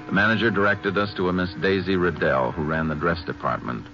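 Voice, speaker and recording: Low-voiced, terse Texas Ranger. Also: male voice, steady tone, brisk, over music. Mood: steady, businesslike. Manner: terse, matter-of-fact. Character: calm, businesslike Texas Ranger investigator and narrator. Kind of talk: 1950s radio drama acting and narration. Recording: muffled vintage 1950s radio recording.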